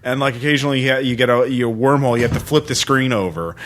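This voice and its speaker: A man hosting a podcast, chatting casually about games in a rambling, halting way. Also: mid-range voice, fairly bright tone. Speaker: podcast host.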